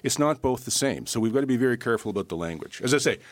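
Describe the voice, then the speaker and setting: A man's voice: mid-range voice, lively intonation, very fast, no echo. A man speaking as a guest commentator on talk radio, giving opinions in an emphatic, plain-spoken, conversational way.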